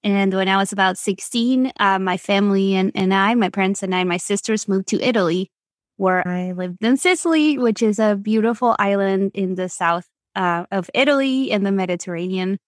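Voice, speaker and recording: A woman's voice: medium pitch, normal speed, no room sound, clean studio sound. A woman with an Argentine Spanish accent, chatting as a podcast guest in a rambling, filler-filled way. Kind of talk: casual storytelling interview.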